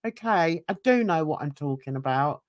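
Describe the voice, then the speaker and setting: A woman's voice: sits low, animated, normal speed, no room sound, clean studio sound. Blunt, ranting older woman. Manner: blunt, indignant ranting with asides to viewers. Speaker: YouTube commentator. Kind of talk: livestream chat reading.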